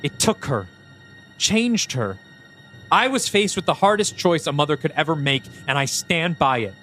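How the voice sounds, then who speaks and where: A man's voice: a little high, expressive, moderate pace, a bit bright, soft background music, voice pushed up. A man voicing a grief-stricken middle-aged mother who speaks in a raised, pleading voice. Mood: defiant.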